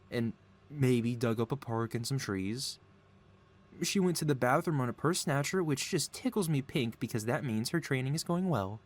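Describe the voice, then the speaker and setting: Man acting a theatrical, swooping mad scientist villain. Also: mid-range voice. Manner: melodramatic, playfully wheedling, feigned innocence. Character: gleeful, lovesick mad scientist supervillain boss, male, theatrical. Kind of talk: ASMR audio roleplay, scripted character acting.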